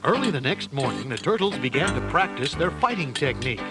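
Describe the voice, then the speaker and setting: A man's voice: mid-range voice, sing-song, brisk. A man reading a children's audio storybook as a dramatic narrator. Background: loud music.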